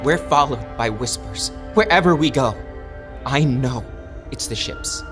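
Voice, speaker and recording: A man's voice: mid-range voice, expressive, music in the background, natural voice. A man voicing an anguished, haunted young man. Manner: halting, pleading, bursts of anger. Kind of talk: audio drama acting.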